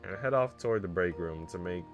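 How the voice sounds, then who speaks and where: A man's voice: sits low, fairly quick. A man live streaming, switching between casual chat with viewers and reading story text aloud. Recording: music playing behind the voice. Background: background music.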